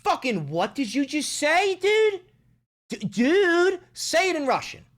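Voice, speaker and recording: Profane commentary vlogger ranting, sarcastic and hyped, pitch all over the place. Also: slow. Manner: excitable, sarcastic, swearing, repeats punchlines. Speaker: political commentary host. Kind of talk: profane casual rant.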